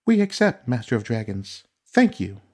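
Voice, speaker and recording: A courteous lady samurai diplomat put on by a male narrator speaks well above his natural pitch. She is lilting and wry, a figure from a fantasy audiobook. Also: big pitch swings, moderate pace, darkish tone, husky. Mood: formal. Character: courteous, puzzled-smirking female samurai diplomat.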